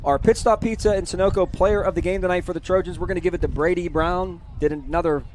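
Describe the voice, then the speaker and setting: Chatty male high school football announcer. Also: slight background noise. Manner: chatty, energetic, reads scores quickly. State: losing his voice. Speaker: play-by-play broadcaster. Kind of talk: postgame sports commentary. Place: press box at a high school stadium.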